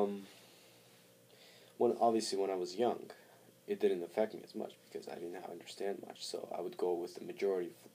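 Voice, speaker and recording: A man talking casually to camera as a vlogger, halting as he thinks aloud. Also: low voice, dark tone, a little hiss or hum. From born in Montreal, Canada, raised in Armenia from four to seventeen. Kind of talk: casual personal talk to camera.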